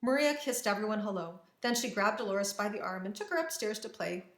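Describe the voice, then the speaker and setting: A woman reading a children's story aloud with expressive, dramatic narration and careful phrasing, in an echoey room. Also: mid-range voice, a little fast. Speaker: storybook reader.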